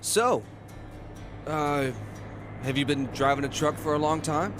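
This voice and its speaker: A man's voice: a little high, a little slow, over music, lightly acted. An actor playing an anxious eighteen-year-old boy on the run in an audio drama. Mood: guarded, polite.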